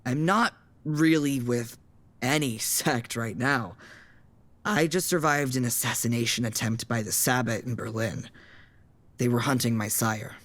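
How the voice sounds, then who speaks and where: A man's voice: medium pitch, unhurried, fairly bright tone, close to an everyday voice. A man playing a cautious, newly turned vampire in an audio drama, speaking tentatively and informally. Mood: guarded.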